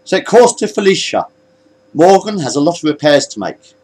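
A man's voice: on the high side, big pitch swings, moderate pace, darkish tone, slightly rough, pitched above the performer's own voice. A man voicing a firm, defiant blue-skinned alien first officer giving clipped commands in a space story. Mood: assertive.

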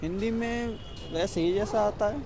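A man's voice: high voice, unhurried, background noise. A man, casually and tentatively answering interview questions outdoors. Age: 20s. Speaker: exam candidate being interviewed. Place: open yard under a tree. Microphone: handheld interview microphone. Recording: outdoor crowd chatter behind.

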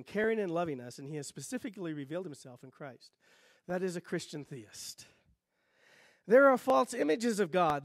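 A middle-aged man preaching a sermon as pastor in a church, teaching in a lively way and gesturing at the pulpit. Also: high-pitched, no echo, studio quality. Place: church sanctuary stage.

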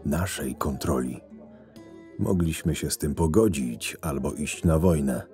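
A man playing a shrewd, sardonic right-hand man to a camp boss, narrating a fantasy audio drama in a measured way. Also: extremely low pitch, darkish tone. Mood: sardonic, reflective. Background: music.

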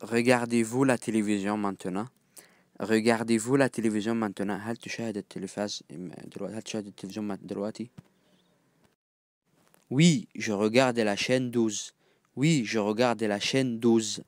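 A man with an Egyptian accent teaching phrases, repeating each one slowly and then glossing it in quick, casual asides. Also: fairly low pitch, steady tone, no echo, studio quality. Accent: Egyptian Arabic. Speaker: language teacher. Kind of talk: phrase-by-phrase language lesson.

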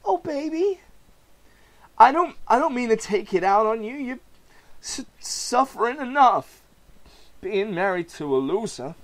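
A man's voice: very high-pitched, big pitch swings, measured pace, long pauses, a bit bright, voice pushed up, full character voice. A man voicing a distraught, self-pitying young husband in a comic book reading, stammering and pleading. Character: anguished, self-pitying failed male comedian husband.

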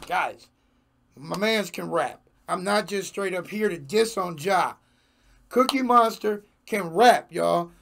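A young man hosting a reaction video in casual slang, his voice hoarse, talking at a small home studio desk mic. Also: high voice, lively intonation, slow, fairly bright tone, a little hiss or hum. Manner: slangy, laid-back, laughs often. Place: small home studio room with jerseys on wall. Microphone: large desk microphone in front.

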